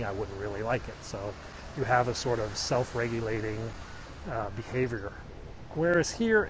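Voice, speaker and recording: A man's voice: medium pitch, noisy background. Midwestern American man chatting on a walk. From Illinois, US. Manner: chatty, explanatory, answering viewers. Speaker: vlogger live-streaming a hike. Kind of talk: casual walking livestream chat. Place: outdoors on a mountain road.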